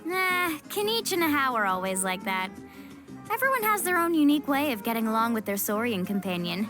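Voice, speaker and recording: A woman's voice: on the high side, very animated, brisk. A woman playing a confident, supportive young female warrior in a video game, with a casual, encouraging manner. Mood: reassuring. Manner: casual, encouraging, plain-spoken. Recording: music behind the voice.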